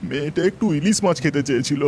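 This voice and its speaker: A man playing a weary, tender village father in a cartoon, speaking slowly. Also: fairly high pitch, noisy background, natural voice. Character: sick, weary, tender village father; also gruff, haughty landlord. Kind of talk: Bengali cartoon voice acting. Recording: loud music under the voice.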